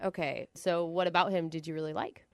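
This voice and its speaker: A woman's voice: deep voice, fairly even intonation, fairly quick, no echo, clean studio sound. A woman co-hosting a radio show with casual, blunt and sarcastic banter, other voices around her. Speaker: radio co-host.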